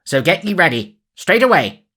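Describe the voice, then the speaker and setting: A man's voice: lowish voice, lively intonation, normal speed, bright, forceful tone. A man voicing a scheming, gloating sheriff who raises his voice to bark orders. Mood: commanding.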